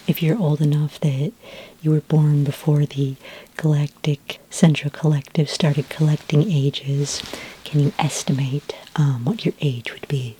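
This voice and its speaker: A woman playing a patient, reassuring sci-fi skincare consultant in a soft-spoken, half-whispered ASMR roleplay, gentle and a little hesitant. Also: low voice, a little hiss or hum, poor audio. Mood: gentle, inquisitive. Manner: gentle, hesitant, unhurried, polite questioning.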